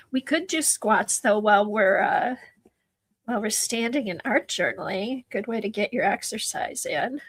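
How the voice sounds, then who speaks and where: Soft-voiced woman, slow, breathy, dry close sound, crafting livestream chat. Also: mid-range voice, expressive, no echo. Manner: soft-spoken, slow, drifting into murmurs while working. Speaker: art journaling livestream host.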